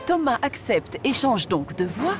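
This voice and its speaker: A woman's voice: on the high side, big pitch swings, measured pace, music in the background. A woman narrating a children's cartoon in a storybook telling style.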